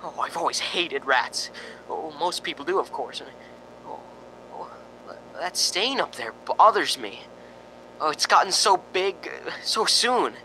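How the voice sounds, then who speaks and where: A man's voice: on the high side, animated, measured pace, bright, forceful tone, slight background noise, low-quality recording. A man playing a nervous, lonely radio reporter in a spooky radio drama, stammering and rambling with sighing ohs. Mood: uneasy, rambling. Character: jittery, lonely radio reporter broadcasting from a haunted house.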